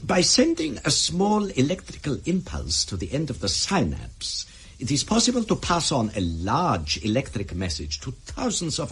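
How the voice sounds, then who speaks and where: A sadistic villain doctor in a radio play. His delivery swoops dramatically up and down, lecturing with menacing courtesy. The voice is very husky and breathy, at a middling pitch. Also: heavily acted. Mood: gloating, coolly menacing. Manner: precise, menacing, lecturing politeness.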